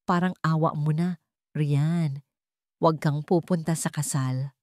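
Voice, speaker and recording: A woman's voice: low-pitched, steady tone, measured pace, a little mellow, no echo. Audiobook lover, a male role read by a woman: young, devoted, fearful, intense, dry recording. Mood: trembling, pleading. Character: devoted, frightened young man, the heroine's lover.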